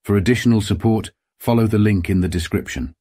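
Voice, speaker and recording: A man's voice: fairly low pitch, fast, warm tone, husky, no room sound. A man reading a scripted channel outro as an announcer.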